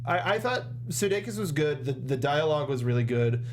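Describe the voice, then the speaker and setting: A young man co-hosting a casual film discussion, talking in a halting, rambling way and rephrasing as he goes, close on a studio mic. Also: a little high, animated, a little hiss or hum. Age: young adult. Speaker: co-host of a film discussion show. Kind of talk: casual review chat. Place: small indoor studio. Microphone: close studio desk microphone with foam cover, headphones.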